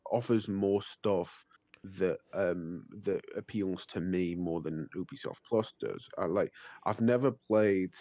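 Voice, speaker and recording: Deep slow hesitant man. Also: dark tone, no room sound. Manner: hesitant, many ums. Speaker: podcast guest. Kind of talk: casual chat.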